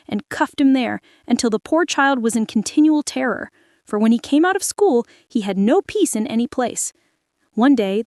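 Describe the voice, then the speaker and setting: A woman reading a fairy tale aloud for children with expressive, dramatic storytelling. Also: fairly high pitch, dry recording, clean studio sound. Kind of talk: storybook read-aloud narration.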